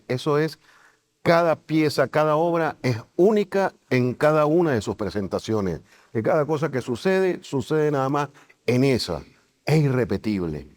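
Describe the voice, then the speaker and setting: A man hosting a conversational interview, thinking aloud in short, halting phrases. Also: medium pitch, a little mellow. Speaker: interviewer, host.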